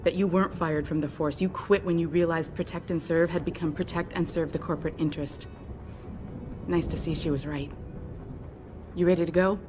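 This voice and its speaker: An actress playing a confident, friendly young company pilot in a video game, speaking casually and frankly. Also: female voice, lowish voice, steady tone, a little fast, noisy background, natural voice. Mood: easy, friendly.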